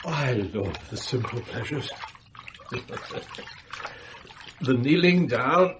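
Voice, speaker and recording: A pampered rich magnate in a radio comedy, languid and self-indulgent. His delivery is slow and sighing with lively swoops, and water sloshes faintly behind him. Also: medium pitch, a little hiss or hum. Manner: luxuriating, sighing, drawn-out. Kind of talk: radio comedy drama acting. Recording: water splashing and dripping behind voice. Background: bath water sounds.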